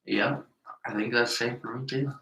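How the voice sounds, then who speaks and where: A man of about twenty, co-hosting a casual podcast chat, rambling and laughing often as he gets excited. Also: mid-range voice, moderate pace, slight background noise. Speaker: podcast co-host. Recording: roomy echo.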